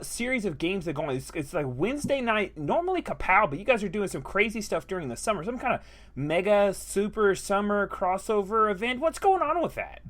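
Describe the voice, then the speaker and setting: Man voicing an excitable swooping frog puppet host. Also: high voice. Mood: excited. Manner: exclamatory, gushing, with swooping emphasis. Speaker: talk show host. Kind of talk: comedic puppet web show hosting.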